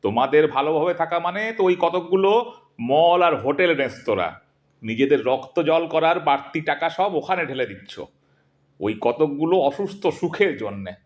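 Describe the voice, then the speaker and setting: Exasperated, high-voiced middle-aged husband, played by a man. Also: animated, moderate pace, dull audio, close to an everyday voice. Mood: bitter, scolding. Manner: argumentative, emphatic, theatrical. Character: exasperated middle-aged Bengali husband, sarcastic, bickering, grumbling. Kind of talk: Bengali audio drama acting.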